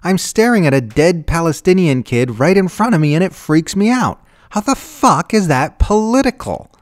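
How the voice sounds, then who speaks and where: A man's voice: a little high, expressive, moderate pace, no echo, studio quality, pitched above the performer's own voice, close to an everyday voice. Man voicing a shrieking, hysterical horrified woman. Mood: outraged. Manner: shrieking, bellowing, wailing.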